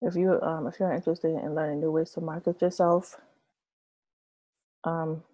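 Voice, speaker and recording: A woman in her thirties, hoarse from losing her voice, casually explaining an online tutorial with many fillers and restarts. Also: low-pitched, level tone, moderate pace, warm tone, no echo, low-quality recording. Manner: rambling, hesitant, many fillers. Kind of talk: casual screen-share tutorial. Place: small room with red curtains, indoors.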